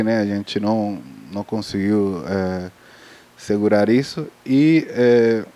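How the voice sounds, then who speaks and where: Man, Cuban accent, Spanish native speaker; mellow, halting panel talk with many restarts. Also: fairly low pitch. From Cuba. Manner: hesitant, many fillers and restarts. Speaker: festival panelist on film distribution.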